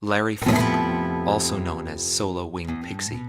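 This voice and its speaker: Man acting a low, sober documentary reporter. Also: fairly even intonation, moderate pace, loud music behind the voice, lightly acted. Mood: grave. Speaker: narrator, documentary interviewer. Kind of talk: scripted documentary narration.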